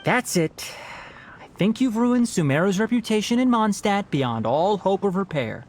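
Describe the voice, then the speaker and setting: Wry young male mentor, lively pitch. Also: normal speed, slight background noise. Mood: wry, teasing. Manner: dry, wry. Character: dry, gently teasing mentor. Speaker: video game character. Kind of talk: scripted game dialogue acting.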